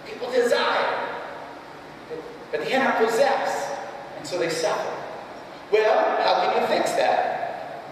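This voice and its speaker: Echoey high-voiced man preaching. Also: lively intonation. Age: 50s. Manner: emphatic, halting, repeats key phrases for weight; poses rhetorical questions to the audience. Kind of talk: live keynote address, storytelling religious teaching. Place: large reverberant hall before an audience. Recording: echoey hall, distant mic, faint noise.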